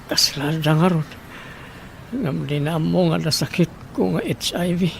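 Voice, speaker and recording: Gravelly, downcast sick Ilocano man acted by a man. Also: fairly high pitch, unhurried. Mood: confiding. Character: sick, struggling smoker man with a lung illness, downcast. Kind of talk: Ilocano radio-style drama acting. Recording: faint background noise.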